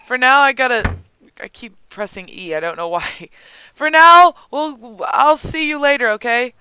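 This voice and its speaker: A gaming host with a hoarse, raspy, squeaky voice, chatting casually over gameplay with a lot of giggling and rambling ums. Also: unhurried, pushed and bright, no echo. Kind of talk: casual let's-play commentary.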